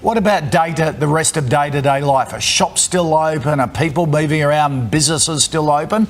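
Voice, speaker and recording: A man anchoring a live news broadcast, asking brisk, direct questions of remote guests. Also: on the high side. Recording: faint background noise.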